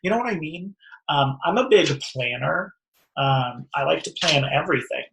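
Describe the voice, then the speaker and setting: Slangy, animated young woman reading tarot. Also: sits low, normal speed, vocal fry, roomy sound, noisy background. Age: young adult. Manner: animated, slangy, teasing, emphatic. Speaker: host and tarot reader. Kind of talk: casual chatty tarot reading.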